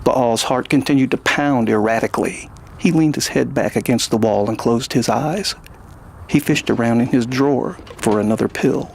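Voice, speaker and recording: A man reading scripted fiction as the narrator, in a gravelly, husky voice. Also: medium pitch, moderate pace, slight background noise.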